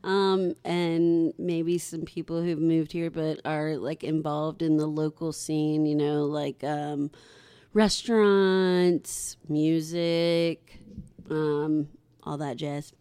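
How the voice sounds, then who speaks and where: A woman in her early forties with a lowish, smooth voice, teasing and laughing through a casual podcast chat. She speaks at a slow, easy pace on a close, dry mic. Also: little pitch movement, dark tone, no room sound. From Richmond, Virginia. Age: 40s. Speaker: podcast co-host.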